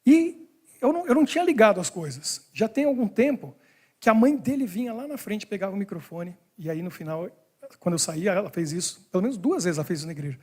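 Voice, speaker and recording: A man's voice: high voice, very animated, fast talker, a little mellow, husky. A man preaching a sermon as pastor, talking to his congregation in a conversational way. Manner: conversational, talks to the congregation directly. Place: church hall in Brussels.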